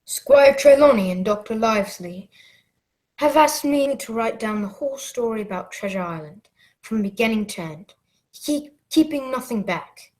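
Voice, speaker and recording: A woman narrating a radio drama as an earnest grown man recalling his boyhood adventure, in measured, dramatic storytelling. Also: fairly high pitch, expressive, darkish tone, close to an everyday voice, low-quality recording.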